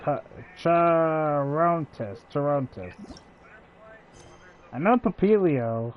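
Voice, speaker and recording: A man streams a game with casual commentary. His voice sits a little high and he takes his time between phrases. The recording sounds slightly muffled. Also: expressive, very slow. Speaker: gaming streamer. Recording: dull, slightly lo-fi mic.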